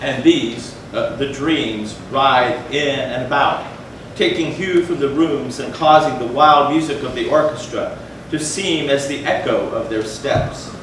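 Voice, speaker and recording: A man performing a dramatic stage reading of a gothic tale, with measured, theatrical phrasing and deliberate pauses. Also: medium pitch. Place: large echoey room, live stage performance. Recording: echoey hall from far off, noisy.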